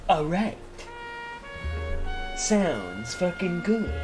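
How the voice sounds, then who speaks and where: A man's voice: sits high, very animated, heavily acted. A man voicing a big muscular dog as a laid-back mafia-style tough guy in an improvised story. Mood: easygoing. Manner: slow, casual mafia-style swagger. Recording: voice under prominent music.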